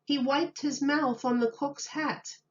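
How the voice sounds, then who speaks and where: A woman reading a children's picture book aloud like a teacher, in a deliberate, expressive way. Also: high voice, lo-fi sound. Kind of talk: children's storybook read-aloud.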